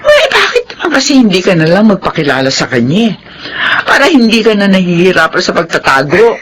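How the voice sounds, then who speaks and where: A woman playing a warm, encouraging middle-aged mother in a Filipino radio drama. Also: medium pitch, wildly swooping pitch, a little fast, a little hiss or hum. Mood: teasing.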